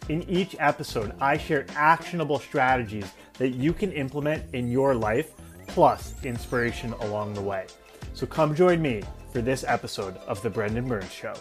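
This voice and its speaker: A man's voice: medium pitch, expressive, moderate pace. A man reading an upbeat, scripted introduction to his own show. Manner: upbeat promotional delivery. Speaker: show host. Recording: voice over faint music.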